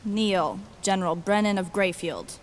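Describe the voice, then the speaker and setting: A woman's voice: mid-range voice, a little slow, slight background noise, close to an everyday voice. A woman playing a brash, irreverent thief turned reluctant queen in an audio drama, talking bluntly and casually. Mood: wry. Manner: casual, blunt, sardonic modern talk.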